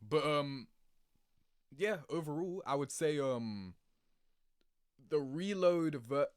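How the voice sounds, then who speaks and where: A man giving casual, rambling reaction commentary in an animated, slangy, sweary way, laughing easily. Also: on the high side, slow pace, clean tone, dry recording, clean studio sound. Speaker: video creator reacting to game cutscenes.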